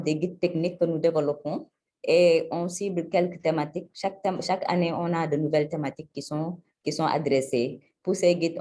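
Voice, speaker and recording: A woman from Senegal giving a webinar presentation over a video call, measured and hesitant, with frequent hesitations. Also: sits low, warm tone. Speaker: energy agency presenter.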